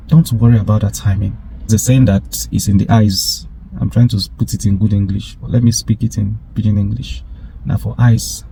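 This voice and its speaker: A man gives an earnest motivational talk, voicing imagined doubts along the way. His voice sits low and sounds warm and soft. A faint hiss of room noise is heard behind him. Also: low-quality recording. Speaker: motivational speaker. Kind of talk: faith-based motivational talk.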